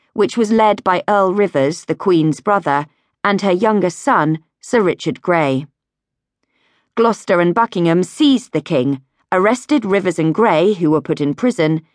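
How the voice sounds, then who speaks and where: A woman reading a history audiobook as a scripted narration, in a measured, clearly phrased storytelling manner. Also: on the low side, no echo, clean studio sound. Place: recording studio.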